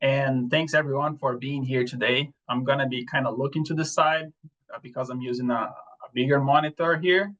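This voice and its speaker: A man with a Brazilian accent presents an online lecture in a mid-pitched voice. He talks in an easy, relaxed way, often pausing on fillers and restarting his sentences. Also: muffled recording. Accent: Brazilian Portuguese. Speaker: presenter, assistant professor. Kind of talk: webinar lecture.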